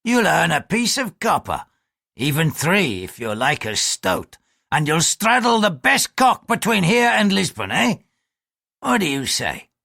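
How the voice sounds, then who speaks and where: A man's voice: fairly high pitch, very animated, measured pace, vocal fry, pushed and bright, no echo, clean studio sound, voice pushed up, heavily acted. A man voicing a crude, lecherous male ship's bosun who leers and threatens. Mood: leering. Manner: coarse, leering, threatening.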